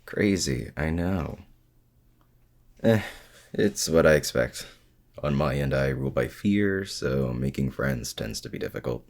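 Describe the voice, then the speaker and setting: Very deep, gravelly supervillain played by a man. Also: animated, exaggerated voice. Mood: wry, confiding. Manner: casual, halting, confiding, teasing. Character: feared male supervillain, self-doubting, secretly smitten with his heroic rival. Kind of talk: intimate ASMR roleplay audio drama, addressing the listener.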